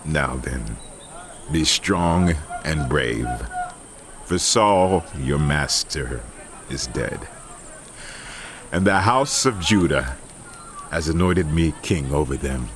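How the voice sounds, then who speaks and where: A man's voice: very deep voice, animated, slow pace, pauses often, noisy background, full character voice. An actor playing a solemn, fervent warrior king in a dramatized scripture, declaiming with weight over music. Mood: solemn, gracious. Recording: loud music bed under voice.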